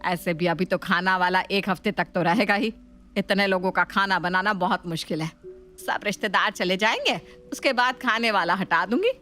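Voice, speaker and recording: A woman's voice: medium pitch, normal speed, sharp tone, faint music behind, natural voice. Commanding middle-aged Indian mother-in-law, acted by a woman. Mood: matter-of-fact.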